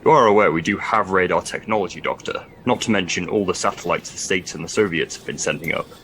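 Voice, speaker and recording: An actor playing a stern, dry-witted military commander in an audio drama, speaking with clipped authority. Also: male voice, sits low, fast talker, fairly bright tone, slight background noise. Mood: skeptical.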